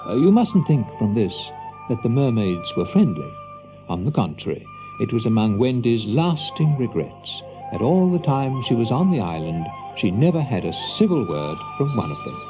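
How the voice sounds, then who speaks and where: Male narrator, lively swooping intonation, medium pitch, storybook style, music behind, slightly dull recording. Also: moderate pace.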